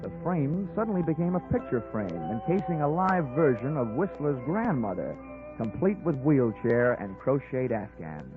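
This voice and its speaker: An American actor playing a wry, hardboiled insurance investigator in a 1940s radio drama, with punchy, wisecracking delivery. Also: male voice, medium pitch, very animated, moderate pace, close to an everyday voice. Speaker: lead actor and narrator. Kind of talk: 1940s radio detective acting. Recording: old muffled radio recording with music.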